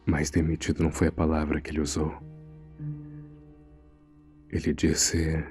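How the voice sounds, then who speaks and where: A man's voice: a bass voice, completely flat delivery, unhurried, a little mellow, soft background music. A man playing an uneasy morgue assistant, narrating a horror audio drama in a grave, suspenseful manner. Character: uneasy male morgue assistant recounting a frightening secret. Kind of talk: scripted first-person horror narration.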